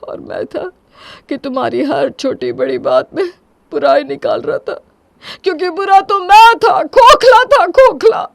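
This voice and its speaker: A proud, distraught young husband, acted by a woman, speaks with melodramatic emphasis. The voice rides high in a dry story recording. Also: sing-song, unhurried, creaky, a little mellow, no room sound, full character voice. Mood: tearful remorse.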